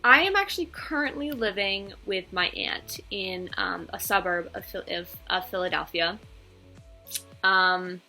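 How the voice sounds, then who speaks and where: Bright-voiced young American woman, chatty vlogger. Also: mid-range voice. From England, United States and Belgium. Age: 20s. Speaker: video channel host. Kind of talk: casual personal vlog talk.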